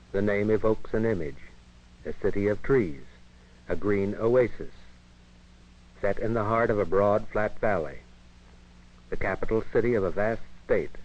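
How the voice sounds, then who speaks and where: An American man narrating a 1950s educational film in a measured, formal, literary manner. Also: lowish voice. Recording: dull 1958 film soundtrack, radio-like.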